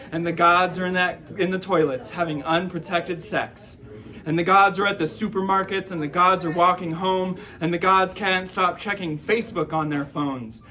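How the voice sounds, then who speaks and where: Higher-pitched man reciting spoken-word poetry. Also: bright, forceful tone. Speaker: poetry performer.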